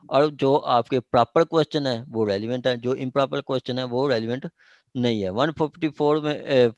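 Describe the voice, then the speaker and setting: Guy teaching law on an online call, kinda halting, repeats himself, mid pitch, dry sound. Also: no echo. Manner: halting, repeats points and often checks understanding. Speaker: law lecturer. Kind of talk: online law lecture, reading out and explaining statutes.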